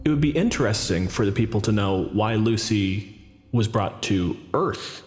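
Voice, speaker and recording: A man's voice: lowish voice, animated, moderate pace, close to an everyday voice. Man playing a sardonic debate challenger, echoey. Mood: probing, pointed. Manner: pointed, sardonic rhetorical questions. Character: accusing, sardonic male political challenger at a debate podium. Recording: echoey reverberant sound, music underneath.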